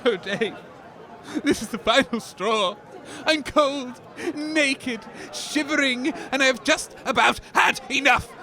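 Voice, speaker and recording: A man's voice: extremely high pitch, slow, sharp tone, some chatter behind, heavily acted. A man playing a pompous, greedy, foul-mouthed radio commentator who blusters and rants in a comedy audio drama. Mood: bitter ranting. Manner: blustering, ranting, insult-laden.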